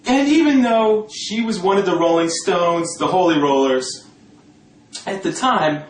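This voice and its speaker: A man telling a personal story informally in a sardonic, gossipy, coarse-mouthed and animated way. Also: sits high, a little slow. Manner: animated, breaking often into laughter. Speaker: storyteller talking about a past religious community. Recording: roomy echo, distant mic, faint noise.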